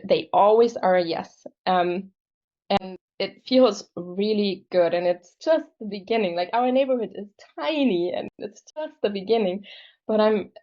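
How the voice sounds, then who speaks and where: A woman's voice: mid-range voice, darkish tone. A young woman casually sharing a personal story on a video call. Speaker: program participant telling her story. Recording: slightly dull video-call audio.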